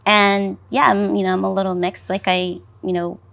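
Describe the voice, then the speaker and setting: Woman, born El Salvador, raised North Carolina, chatty, creaky, even tone. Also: medium pitch, normal speed. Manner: chatty, lots of "like" and "you know". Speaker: panelist, business founder. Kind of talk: casual panel talk.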